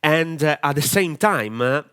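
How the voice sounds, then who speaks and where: Italian guy coaching people on video confidence, english not his first language, lots of uhs, very animated up and down delivery. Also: on the high side, a little slow, clear, resonant tone. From Italy. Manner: hesitant, many filler sounds, direct and earnest. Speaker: online marketing mentor. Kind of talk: camera-confidence training talk.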